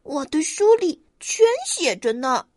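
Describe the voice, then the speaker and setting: A woman voicing an excitable, bold male rabbit adventurer who talks in eager exclamations for a children's storybook. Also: sits high, a little slow, dry recording, clean studio sound, pitched above the performer's own voice. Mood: excited.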